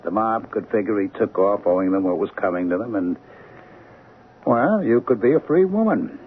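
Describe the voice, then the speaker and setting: Low-voiced, wheedling runaway husband, old radio. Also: male voice, expressive, slightly rough. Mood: confessional. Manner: halting, self-pitying, wheedling. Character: shifty, pleading husband returned from faked death, sick. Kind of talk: old-time radio drama acting. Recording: narrow, noisy old radio recording.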